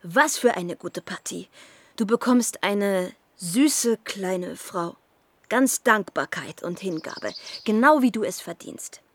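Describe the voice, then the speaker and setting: An actress playing a vivacious, teasing, affectionate young society lady in a radio play, with an expressive, effusive manner. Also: mid-range voice, slow, dry recording. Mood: delighted. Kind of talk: German radio play acting.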